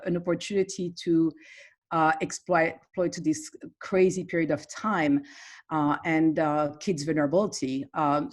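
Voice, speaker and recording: Woman from Morocco, very low voice, earnest, hesitant, video call panelist. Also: darkish tone. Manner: earnest, hesitant, many fillers. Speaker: therapist and nonprofit panelist.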